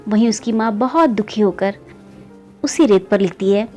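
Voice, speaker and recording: A woman's voice: moderate pace, darkish tone, faint music behind. Woman telling a moral story to friends. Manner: keeps calling listeners "friends", pitch rising on story beats. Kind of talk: conversational moral story narration.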